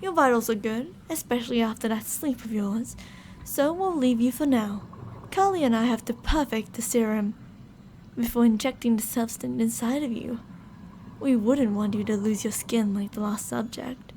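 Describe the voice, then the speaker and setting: High-pitched sweetly menacing yandere scientist played by a woman. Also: normal speed, darkish tone, slight background noise, close to an everyday voice. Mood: possessive, sweet menace. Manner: coaxing, domineering, sweetly menacing pet-name talk. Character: two teasing, possessive female yandere mad scientists holding a captive. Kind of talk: ASMR roleplay.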